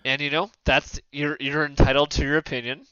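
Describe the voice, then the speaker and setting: Bright-voiced, insistent man podcasting. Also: a little high, little pitch movement, a little fast, clear, resonant tone, no echo. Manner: opinionated, insistent, swears freely. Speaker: podcast host. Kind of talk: casual podcast debate. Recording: slightly muffled, lo-fi dry sound.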